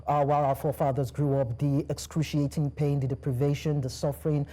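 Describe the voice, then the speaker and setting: A woman's voice: deep voice, little pitch movement, fairly quick, dark tone, rough recording. A woman hosting a studio panel discussion, polite and guiding the talk, with frequent fillers. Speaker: news show host.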